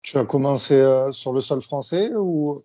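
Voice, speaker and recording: Casting: male podcast host, mid pitch, mellow tone, plain questioner, dull lo-fi sound. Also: moderate pace. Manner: plain, asks questions with small hesitations. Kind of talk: interview questions. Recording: dull lo-fi audio.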